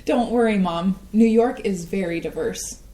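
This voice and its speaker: An actress playing a guarded young artist daughter. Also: female voice, medium pitch, measured pace, mellow, natural voice. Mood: tender. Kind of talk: staged play reading. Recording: echoey stage.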